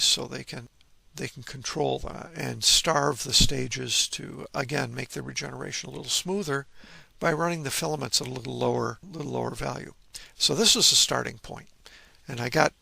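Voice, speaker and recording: A man giving an informal technical explanation in a measured way, leaning on key words as he presents. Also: medium pitch, gravelly, bright, forceful tone, dry recording. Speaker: presenter of a vintage ham radio channel.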